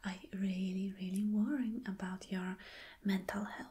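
A woman playing a flirty, caring boss in a soft, breathy, whispered ASMR roleplay, gentle and coaxing. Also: mid-range voice, little pitch movement, close to an everyday voice. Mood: soothing, caring. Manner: slow, gentle, coaxing, with hums of agreement. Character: flirty, caring female boss courting an employee. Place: small dim indoor room.

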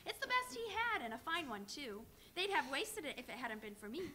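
A woman plays a scornful old thief of a charwoman, coarse and sneering. Her voice sits high and bright, with a faint hum of the hall behind. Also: sing-song, a little fast, full character voice. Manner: sneering, crude.

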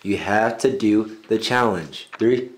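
A man's voice: lowish voice, takes it slowly. A young man hosting a challenge game with friends, explaining rules and calling countdowns in a small indoor room. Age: young adult. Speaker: game show host. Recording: clearly noisy room recording.

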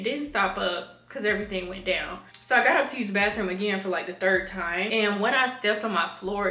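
A woman's voice: mid-range voice, sharp tone. A young woman, chatting casually to the camera in her apartment and calling her viewers y'all. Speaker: lifestyle vlogger. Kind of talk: casual vlog talk to camera. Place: home apartment, indoors. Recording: roomy indoor echo.

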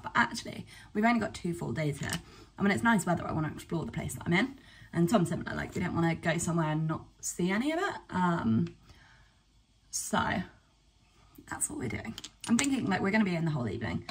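A young woman from England chatting casually to camera in a vlog, rambling with fillers and quick asides. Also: fairly low pitch, distant mic, a little hiss or hum, low-quality recording. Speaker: vlogger. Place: cottage bedroom indoors, later outdoors on a walk. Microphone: camera at arm's length.

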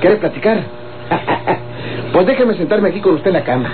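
An actor playing a young rural Mexican grandson, evasive and placating, in a folksy, coaxing radio soap style. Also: male voice, high voice, animated, moderate pace. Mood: casual. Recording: old tinny, noisy radio recording.

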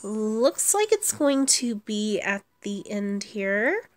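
A woman's voice: mid-range voice, sing-song, slow pace. A woman giving casual commentary on her own gameplay, thinking aloud as she plays. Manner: thinks aloud while playing. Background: video game music.

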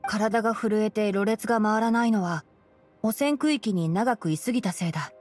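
A woman playing a composed, knowledgeable young fox-eared male forest ranger in a Japanese game, explaining things in a measured way. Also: medium pitch, darkish tone, natural voice. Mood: calm, kindly. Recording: game music behind the voice. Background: background game music.

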